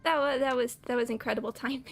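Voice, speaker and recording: A woman's voice: high voice, fairly even intonation, quick pace. A young woman streaming a game and chatting casually with viewers, a little scratchy from a cold, often laughing. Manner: chatty, giggly, greets viewers by name. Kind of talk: casual live-stream chat while gaming.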